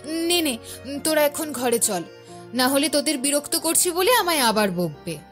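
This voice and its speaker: Chatty married village sister, doting, slightly high female voice, audio drama, faint music behind. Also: lively intonation, moderate pace, a bit bright. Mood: teasing, reassuring. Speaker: voice actor. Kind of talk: Bengali horror audio drama acting.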